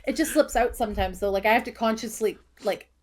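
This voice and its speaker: A woman with a Maine accent, a teacher, co-hosts a relaxed podcast. She speaks in a chatty way and often talks over her partner. Her voice sits a little high. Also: fast talker, a crowd in the background. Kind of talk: casual chat.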